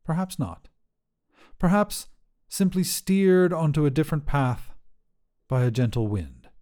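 A man narrating a scripted short story for a podcast in measured, deliberate phrases with pauses. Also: fairly high pitch, animated, dark tone.

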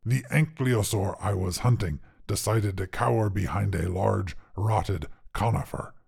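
A very gravelly, husky monster of a dinosaur, huge and carnivorous. It speaks low, with pompous, learned diction and smug self-assurance, as a character in a storybook reading. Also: male voice, measured pace, a little mellow, exaggerated voice. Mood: smug, dry. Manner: pompous, learned, formal phrasing. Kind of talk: character voice in narrated short fiction.

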